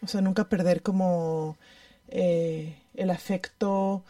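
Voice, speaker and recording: A woman's voice: lowish voice, steady tone, warm tone, no echo, clean studio sound. Reflective woman, recorded at a distance. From Ecuador. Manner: halting, thoughtful, emphatic repetition, question tags. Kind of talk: reflective personal conversation.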